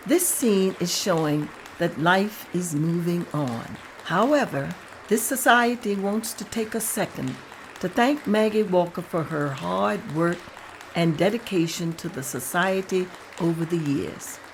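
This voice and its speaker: A woman narrating the stage directions at a table read in a plain, steady way. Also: on the low side, unhurried, some chatter behind, a little hiss or hum, low-quality recording.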